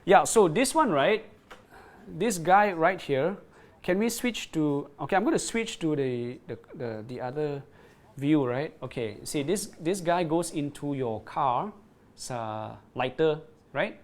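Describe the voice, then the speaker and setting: A man's voice: fairly high pitch, expressive, measured pace. A man presenting a product on a livestream, demonstrating it and reading viewer comments, often repeating words and checking in with "right?".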